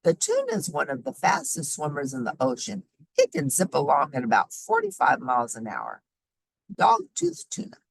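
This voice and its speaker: A woman reading a children's nonfiction book aloud in an expressive, emphatic, teacherly way, pausing for short explanatory asides. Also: deep voice, gravelly, breathy edge, no room sound, rough recording. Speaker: read-aloud host.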